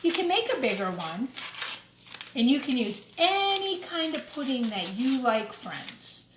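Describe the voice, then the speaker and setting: A woman's voice: sits high, sing-song, measured pace. A woman giving a step-by-step cooking demonstration for children, explaining each thing simply and addressing them directly. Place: indoors in a kitchen. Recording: roomy echo, clattering kitchen noise.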